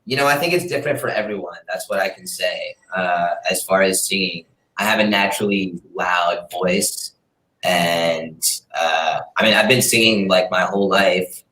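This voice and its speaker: A man's voice: low voice, a bit bright. A man, a singer-songwriter, talking about his music in a laid-back, rambling way, as a guest in an interview. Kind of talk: casual interview chat. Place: room in a city apartment, street noise outside. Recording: roomy echo.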